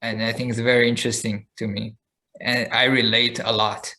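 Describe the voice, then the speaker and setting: A man's voice: medium pitch, flat delivery, normal speed, pushed and bright. A man co-hosting a podcast, chatting casually and telling a long story with frequent fillers and restarts. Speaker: podcast co-host. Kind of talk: casual chat.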